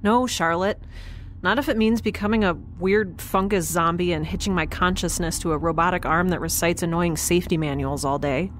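A woman's voice: lowish voice, steady tone, normal speed, lightly acted. An actress playing a curious young woman scientist aboard a spaceship in an audio drama, talking in an awkward, wry, hesitant way. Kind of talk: audio drama acting, conversational. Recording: clear ambient noise behind.

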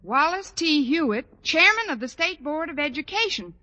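An actress playing a scheming, wisecracking schoolteacher in a 1940s radio sitcom, with a dry, sarcastic delivery. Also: female voice, high voice, very animated, bright, forceful tone, lo-fi sound, exaggerated voice. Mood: flustered, placating. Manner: dry, sarcastic, fast-talking when cornered. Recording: noisy old radio recording, long hall reverb.

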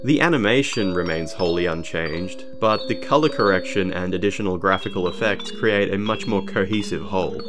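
Male narrator for game analysis, low-pitched, documentary-style scripted read over a music bed. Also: normal speed, hardly any pauses. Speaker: video narrator.

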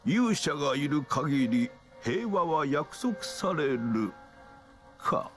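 An actor playing a stately elderly king in a game cutscene, speaking gravely in formal, archaic phrasing. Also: fairly high pitch, sing-song, slow pace, fairly bright tone, heavily acted. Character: solemn, stately elderly kings in council. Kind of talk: dramatic Japanese RPG cutscene acting. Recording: game cutscene with faint music.

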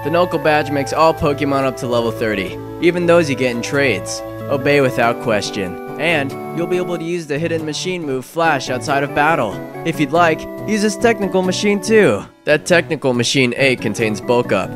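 A man's voice: fairly high pitch, expressive, moderate pace, close to an everyday voice. A man voicing an easygoing young gym leader in a video game fan dub. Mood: relaxed, helpful. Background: guitar game music.

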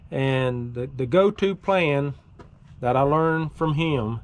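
A middle-aged man hosting a fishing vlog from a small boat on a lake, talking in a folksy, drawling way and getting excited when fish bite. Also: mid-range voice. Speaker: fishing video host. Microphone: action camera mounted on boat.

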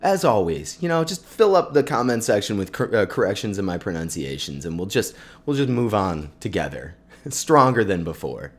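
A man in his thirties casually talking through viewer photos at home, relaxed and enthusiastic, with lots of fillers. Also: lowish voice, moderate pace. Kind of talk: casual chat. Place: bright living room at home. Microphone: desk condenser mic in frame.

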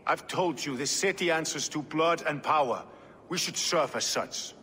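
An actor playing a hard, cynical medieval warrior in a game cutscene, with a blunt, wry and sceptical way of talking. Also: male voice, on the high side, normal speed, bright, forceful tone. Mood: firm. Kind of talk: dramatic game cutscene acting. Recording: reverberant hall, faint ambient noise.

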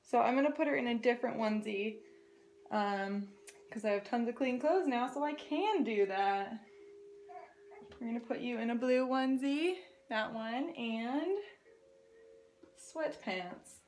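A woman's voice: on the high side, measured pace, pauses often, slight background noise. A woman in her twenties chatting casually in a home vlog, filmed on a phone at arm's length, often saying "like". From US West Coast. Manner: chatty, lots of "like", hushed to avoid waking a sleeping baby. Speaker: family vlogger. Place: small home rooms indoors. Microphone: phone camera at arm's length.

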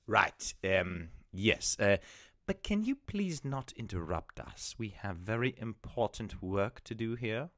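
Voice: medium pitch, sing-song, takes it slowly, a bit bright, dry recording, studio quality, exaggerated voice. A performer playing a pompous male casting director in a comedy sketch, impatient and prone to stammering. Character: exasperated male casting director for a baby-food ad.

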